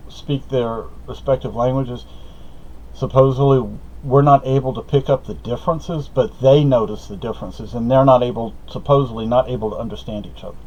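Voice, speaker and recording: A man's voice: medium pitch, darkish tone. A middle-aged man from Spain, a native Spanish speaker, telling a meandering personal story with many fillers and self-corrections. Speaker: vlogger. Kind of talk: rambling personal monologue. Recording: slightly dull lo-fi audio.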